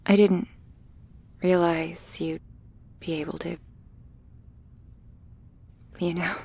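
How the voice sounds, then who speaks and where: Shy female vampire played by a woman for ASMR roleplay: very slow, bashful, halting delivery, soft breathy voice, affectionate and easily flustered. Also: fairly low pitch, level tone, heavily acted. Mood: embarrassed, defensive. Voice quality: soft, breathy, often whispery. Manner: bashful, halting, nervously giggly.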